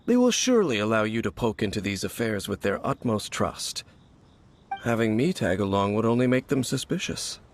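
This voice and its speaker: Low-voiced, aloof brooding wanderer. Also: male voice, very animated. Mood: cool, dismissive. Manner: measured, cool, terse, warning. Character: aloof, brooding, world-weary male wanderer who shuns the gods. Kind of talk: video game character acting.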